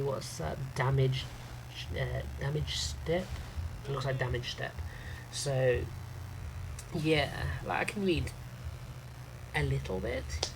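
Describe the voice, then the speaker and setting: A man's voice: medium pitch, creaky. A man streaming a card game live, chatting casually, thinking aloud over his moves and answering chat. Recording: noisy background ambience.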